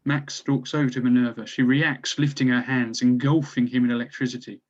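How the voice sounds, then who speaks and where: A man's voice: medium pitch, level tone, fairly quick. A man narrating scripted stage directions at a table read. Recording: slightly dull, lo-fi recording.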